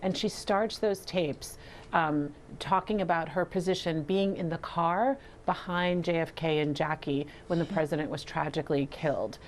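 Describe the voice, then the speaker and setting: Lower-pitched woman interviewee, some background noise. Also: normal speed. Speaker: documentary filmmaker, interview guest. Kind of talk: explanatory interview answers.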